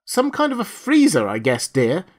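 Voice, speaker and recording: Man putting on an anxious, higher-pitched wife. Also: wildly swooping pitch, moderate pace, heavily acted. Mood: shrieking, uncertain. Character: concerned, mildly unhelpful wife in audiobook. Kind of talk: dramatised audiobook.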